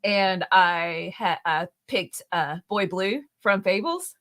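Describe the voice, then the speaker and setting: A woman's voice: mid-range voice, animated, fairly bright tone. A woman co-hosting a live comics talk stream, chatting playfully and laughing often. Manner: giggly, playful, quick to laugh. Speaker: co-host of a comics show. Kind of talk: casual live-stream chat.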